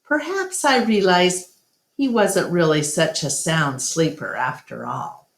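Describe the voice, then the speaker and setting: Slow-paced woman narrating in an echoey room. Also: fairly low pitch, big pitch swings, vocal fry. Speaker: storyteller reading aloud to children. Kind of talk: read-aloud storybook narration.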